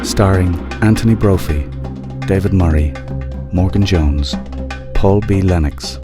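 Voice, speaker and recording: A man's voice: sits low, unhurried, over music. A man working as announcer, reading scripted closing credits for a radio drama.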